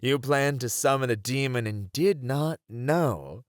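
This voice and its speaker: Flirty incubus demon, played by a man: smug charmer, unhurried, lively swings in pitch, close dry sound. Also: dry recording, close to an everyday voice. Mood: playful, mocking. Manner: teasing, drawn-out, playful delivery. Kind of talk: flirty ASMR roleplay acting.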